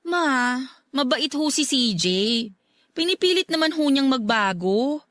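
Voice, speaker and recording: A woman's voice: sits high, lively intonation, unhurried, fairly bright tone, no echo, clean studio sound. A woman playing a young adult daughter in a Filipino radio drama, polite yet earnest and defensive. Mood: pleading, defiant. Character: young adult daughter, respectful but stubbornly defending her love.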